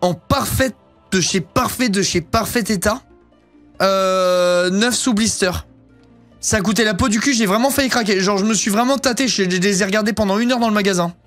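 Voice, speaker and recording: A man's voice: high voice, moderate pace, fairly bright tone, soft background music. A man in his thirties chatting casually as a streamer, rambling with plenty of fillers and crude slang. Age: 30s. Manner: rambling and slangy, swears freely, many fillers. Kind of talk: casual chat showing off purchases.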